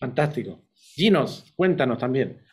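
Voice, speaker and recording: A middle-aged Chilean man hosting a conversational interview over a video call, speaking in a halting, digressive way. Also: mid-range voice, sing-song, a little mellow, breathy edge. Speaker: interview host. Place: indoor room on a video call.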